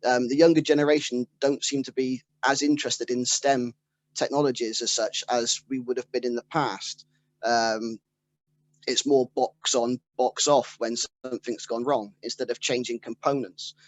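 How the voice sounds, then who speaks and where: A man's voice: mid-range voice, fairly even intonation, normal speed. A man speaking as a panelist who is an engineering trainer, explaining earnestly and steadily. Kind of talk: panel discussion.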